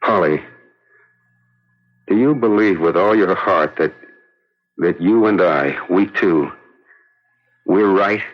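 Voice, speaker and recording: An actor playing an anguished police lieutenant gripped by a deadly curse, halting and repeating himself in a 1970s radio drama. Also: male voice, low voice. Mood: grave, intense. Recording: dull lo-fi radio broadcast.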